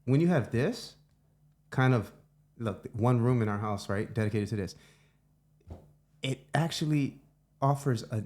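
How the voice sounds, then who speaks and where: A middle-aged man hosting a podcast in his home studio, talking in a relaxed, reflective way and thinking aloud with restarts. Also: medium pitch, a little slow, pauses often. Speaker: podcast host. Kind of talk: casual conversation.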